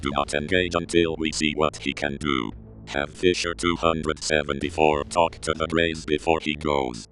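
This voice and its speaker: A man playing a cold, commanding crime boss in an audio drama, speaking curtly and calmly with authority. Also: very deep voice, normal speed, faint music behind, rough recording. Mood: cold, menacing. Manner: curt, calm, authoritative. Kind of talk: scripted audio drama roleplay.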